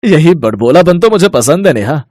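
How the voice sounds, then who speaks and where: Guy doing a greedy young husband type in a cartoon, gushing and playful, super expressive intonation. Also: fairly high pitch, normal speed, dry recording, clean studio sound. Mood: dreamy, doting. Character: gluttonous, food-obsessed young husband or brother-in-law.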